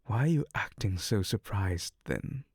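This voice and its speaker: A man's voice: fairly low pitch, lively intonation, unhurried, a little mellow, husky, no echo, clean studio sound, exaggerated voice. A man voicing an exasperated, sardonic male incubus demon in an ASMR audio roleplay, theatrical and sarcastic.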